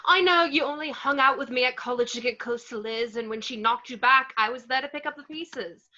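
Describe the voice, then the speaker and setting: A woman's voice: high voice, a little fast, bright, forceful tone. A woman reading a hurt, frank, long-suffering girlfriend in an amateur film script table read, with dull lo-fi sound.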